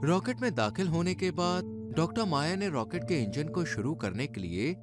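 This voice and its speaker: A man's voice: fairly high pitch, very animated, moderate pace. A man narrating a scripted dramatic story in a suspenseful storytelling manner. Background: background music.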